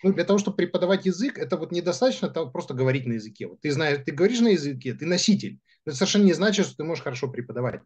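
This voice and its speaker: Male panelist, quick and husky, picks words cautiously. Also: a little high, animated, darkish tone. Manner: searching for words, careful not to offend. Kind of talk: casual panel talk.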